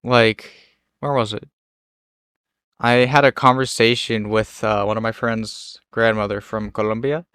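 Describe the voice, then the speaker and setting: A man's voice: fairly low pitch, fairly even intonation, unhurried, fairly bright tone, smooth. A young man chatting casually and easily with a friend, laughing often. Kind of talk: casual chat.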